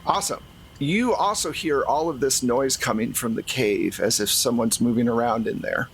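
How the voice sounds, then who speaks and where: A man's voice: medium pitch, normal speed, creaky, rough recording. A man acting as game master, narrating a tabletop role-playing game to the players. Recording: faint background noise.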